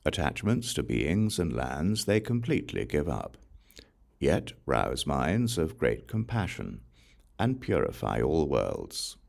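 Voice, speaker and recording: A man reading scripture aloud as a solemn audiobook, with measured, deliberate phrasing and pauses between short phrases. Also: very deep voice, wildly swooping pitch, vocal fry, a little mellow. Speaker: audiobook reader.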